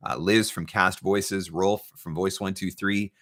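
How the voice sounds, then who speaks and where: A man's voice: sits low, steady tone, unhurried, a bit bright. A man hosting a casual, off-the-cuff livestream as a coach, chatting informally with a playful, teasing streak. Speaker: livestream host and voiceover marketing coach. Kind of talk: casual live chat, off the cuff.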